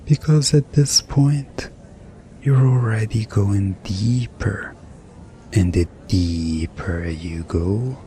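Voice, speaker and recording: Male hypnotist, ASMR roleplay. Extremely unhurried, hushed and soothing, with a faint noise bed behind him. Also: on the low side. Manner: soothing, hushed, drifting in short phrases with pauses. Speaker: hypnotist in a collaborative audio roleplay. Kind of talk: hypnosis ASMR, slow guided descent.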